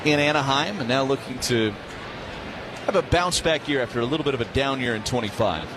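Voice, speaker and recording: A man's voice: mid-range voice, animated, brisk, noisy background. A man calling a baseball game live on the radio as play-by-play announcer. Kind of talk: live radio sports commentary. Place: stadium broadcast booth.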